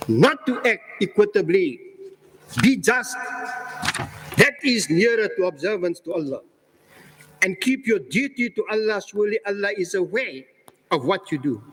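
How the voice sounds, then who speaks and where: A man giving an earnest, emphatic religious speech, quoting scripture slowly. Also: sits high, fairly bright tone, slight background noise. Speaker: speaker. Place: large hall at a religious convention. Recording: echoey hall with long reverb.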